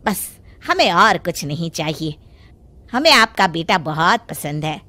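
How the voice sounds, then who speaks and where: A woman acts a middle-aged parent of a bride in a family cartoon. The voice is pushed down from the performer's usual pitch yet stays bright, with a courteous, formal and agreeable manner. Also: sing-song, unhurried, no echo, exaggerated voice. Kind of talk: Hindi cartoon family drama acting.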